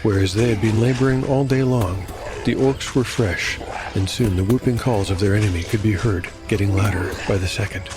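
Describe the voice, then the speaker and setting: Low-voiced man narrating dark fantasy. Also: moderate pace, loud music behind the voice. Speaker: narrator and solo game master. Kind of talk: scripted dark fantasy narration with game commentary.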